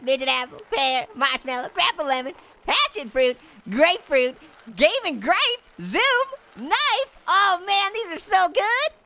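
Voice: very high voice, sing-song, a little slow, pushed and bright, exaggerated voice. A performer voicing a cocky, joking talking orange who comments on a game, goofy and full of yelps. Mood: playful. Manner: goofy, jokey, yelps and laughs. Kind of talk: cartoon character playing a game on camera.

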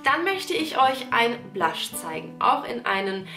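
A woman's voice: fairly high pitch, big pitch swings, unhurried. A young woman in her twenties with a stuffy nose from a cold, chatting enthusiastically as a beauty vlogger. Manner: chatty, gushing, addresses viewers as girls. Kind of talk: casual product-recommendation vlog. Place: small indoor room. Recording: echoey room with music behind.

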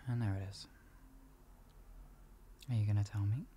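A man playing a gentle, doting boyfriend in an improvised ASMR roleplay, coaxing softly and reassuringly in an intimate, husky, gravelly voice. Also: lowish voice, steady tone, slow, a little hiss or hum. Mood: gentle concern. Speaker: voice actor in audio roleplay.

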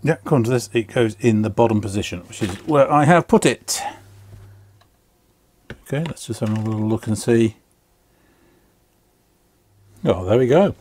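A man's voice: mid-range voice, expressive, normal speed, long pauses, darkish tone, slight background noise. A middle-aged man talking casually and methodically through a hands-on repair, step by step, at an indoor workbench. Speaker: repair video host. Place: indoor workshop bench.

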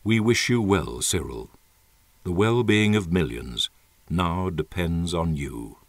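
A man reads a formal letter aloud in a deep voice. His intonation moves widely, with earnest, measured emphasis on the phrases. The recording is dry and close. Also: animated, dry recording. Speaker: narrator reading an open letter. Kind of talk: dramatic scripted reading.

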